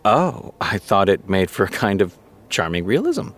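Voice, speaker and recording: A grown man with a low voice that swings widely in pitch. He is a sardonic, stubborn boss in an audio drama, dry and wordy, conceding things only grudgingly. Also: very animated, normal speed. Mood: dry, resigned. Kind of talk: naturalistic audio drama acting.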